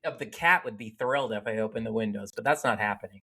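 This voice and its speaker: A man from Connecticut hosting a podcast, opening the show and chatting with a guest over a remote call, animated and quick to laugh. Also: fairly low pitch, vocal fry. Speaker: podcast host. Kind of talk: casual interview chat.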